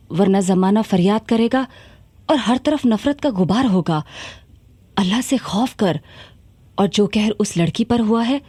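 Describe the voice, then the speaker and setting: Earnest young warrior princess. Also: female voice, fairly high pitch, dark tone, breathy edge, no room sound. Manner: earnest, formal, dramatic delivery. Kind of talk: Hindi historical radio drama acting.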